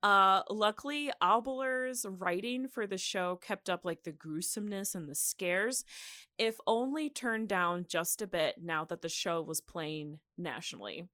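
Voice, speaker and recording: A woman's voice: medium pitch, measured pace, pushed and bright, no echo, studio quality. A woman co-hosting a podcast, telling radio history in a casual, giggly, conversational way. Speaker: podcast co-host.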